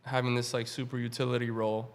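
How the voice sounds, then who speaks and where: A relaxed young man in his twenties speaks in an even, level tone with little rise or fall in pitch. His talk is easygoing and conversational, with lots of "man" and "like" along the way, the way a guest chats on a podcast. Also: quick pace. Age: 20s. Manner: laid-back, peppers speech with "man" and "like". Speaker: professional baseball player, guest. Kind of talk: casual interview talk.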